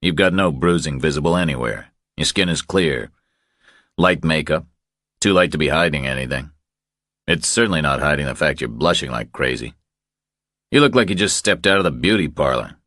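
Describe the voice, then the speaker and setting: A man voicing a big, strong, skeptical drifter in an audiobook, speaking in blunt, flat, clipped statements. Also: extremely low pitch, normal speed, no room sound, studio quality. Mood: skeptical, matter-of-fact.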